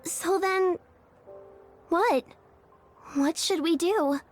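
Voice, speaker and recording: A woman's voice: very high-pitched, extremely slow, soft background music, heavily acted. An actress voicing a small, naive, childlike girl in an anime-style game, plaintive, simple and direct. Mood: shocked, pleading.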